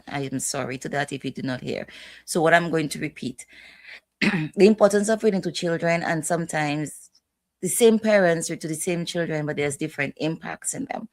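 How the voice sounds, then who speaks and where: Low-voiced middle-aged woman hosting. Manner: chatty, rambling, with many asides. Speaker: host, mother. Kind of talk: casual family chat.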